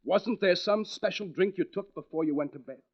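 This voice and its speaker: An actor playing an intense, accusing man with deliberate, insistent menace in a 1940s radio mystery, on a dull vintage recording. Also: sits high.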